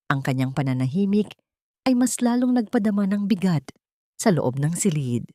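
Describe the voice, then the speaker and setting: A woman narrating a scripted drama story in a measured, emphatic, theatrical way. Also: low voice, darkish tone, dry recording, clean studio sound.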